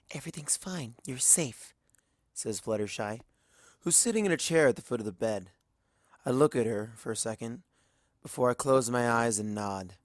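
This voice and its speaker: A man's voice: fairly low pitch, no echo. Man reading a story close and dry. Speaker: audiobook reader. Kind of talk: first-person fanfiction narration.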